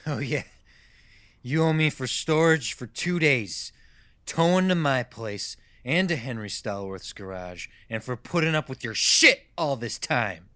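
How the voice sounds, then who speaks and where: A man's voice: mid-range voice, expressive, measured pace, sharp tone, no echo. A man playing a frustrated, bitter small-town mechanic who grumbles and swears to himself in a radio mystery drama. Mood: menacing.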